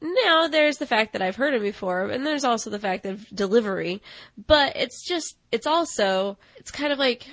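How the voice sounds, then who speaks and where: Higher-voiced woman chatting casually, dry sound. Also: animated, moderate pace, fairly bright tone, no echo. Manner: chatty, loose, filler-heavy storytelling with "like". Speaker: podcast co-host reviewing animated films. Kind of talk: casual chat.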